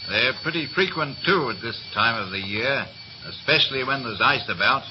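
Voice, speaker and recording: An actor playing a grizzled quartermaster in his fifties in a 1930s radio play, deferential, measured and guarded. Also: medium pitch, animated, lightly acted. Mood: respectful, easy. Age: 50s. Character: grizzled veteran quartermaster of fifty, respectful, steady, guarded. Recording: muffled, hissy 1930s radio recording.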